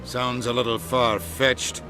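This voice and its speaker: A man's voice: mid-range voice, little pitch movement, slow pace, music in the background, close to an everyday voice. A man playing an exasperated, sarcastic middle-aged ambassador in a video game, brusque and authoritative. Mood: skeptical, brusque.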